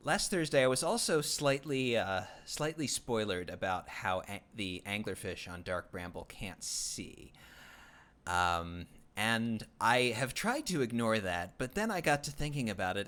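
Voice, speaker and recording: A man hosting his own livestream, chatting casually while he plays, rambling and halting with many fillers and restarts and a dry, self-deprecating humor. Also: medium pitch, very animated, fairly bright tone. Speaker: livestream host. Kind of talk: casual gaming stream chat.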